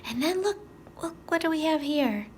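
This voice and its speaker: A woman's voice: sits high, animated, moderate pace, slight background noise. A woman teacher leading story time for young children, talking to them directly in a sing-song way with simple questions.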